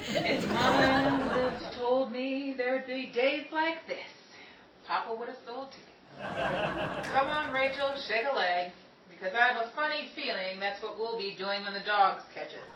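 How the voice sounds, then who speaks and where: A woman's voice: on the high side, measured pace, over a noisy crowd, close to an everyday voice. A woman playing an exasperated, sarcastic aspiring Hollywood dancer of the 1930s in an old-time radio comedy style. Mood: sardonic. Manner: sarcastic, punchline-driven complaining. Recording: roomy, distant, dull, noisy amateur recording.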